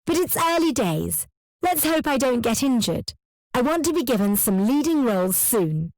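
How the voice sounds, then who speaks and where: An ambitious young dancer from Spain speaks with eager hope. The voice is fairly high and swoops animatedly, dry and close, as a listening test monologue. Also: female voice, sing-song, moderate pace, a little mellow, no room sound, poor audio. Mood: eager, hopeful. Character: ambitious young Spanish female ballet dancer, starry-eyed. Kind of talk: acted monologue for an English listening exam.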